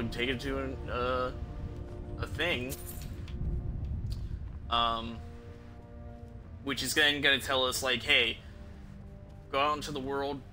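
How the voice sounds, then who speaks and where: Male streamer, 30s, mid pitch, loose rambling gameplay chat, music behind. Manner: rambling, musing, casual swearing. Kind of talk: casual gameplay commentary. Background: game music.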